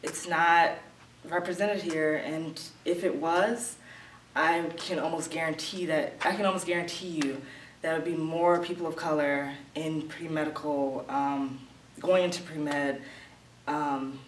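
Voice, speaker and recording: A young female student speaks candidly in an interview. Her voice sits low for a woman. The room echoes and there is faint noise behind her. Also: fairly even intonation, measured pace, distant mic. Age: young adult. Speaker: college student.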